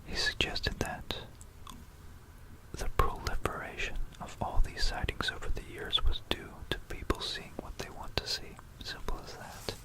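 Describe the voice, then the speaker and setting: An ASMR presenter narrating history in a hushed, unhurried whisper, repeating words and pausing mid-phrase, close to a stereo microphone. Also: poor audio. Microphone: close stereo microphone for binaural ASMR.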